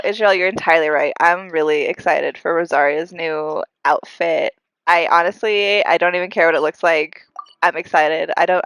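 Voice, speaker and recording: A young woman with a congested, breathy voice, giving casual, excitable live commentary as she streams a game. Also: fairly low pitch, no room sound, low-quality recording. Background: game music.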